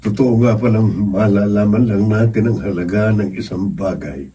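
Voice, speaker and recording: A man's voice: lowish voice, normal speed, dark tone, breathy edge, recorded from a distance. A man acting an earnest, regretful young adult elder brother in an emotional Filipino radio drama. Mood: somber regret.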